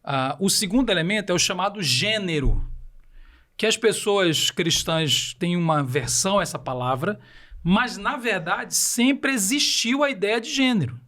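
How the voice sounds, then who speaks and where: Guy w a belém do pará accent, highish voice, breaks stuff down like a teacher on a podcast. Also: lively intonation, moderate pace, bright, forceful tone. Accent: Paraense Brazilian Portuguese. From Belém do Pará, Brazil. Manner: didactic, uses rhetorical questions. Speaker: podcast guest. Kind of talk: explanatory podcast talk.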